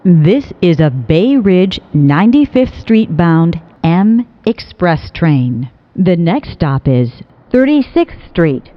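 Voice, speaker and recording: A woman reading scripted automated subway announcements in a measured, clearly enunciated way, with phrases pieced together. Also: fairly low pitch, very animated, no echo. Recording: dull lo-fi recording.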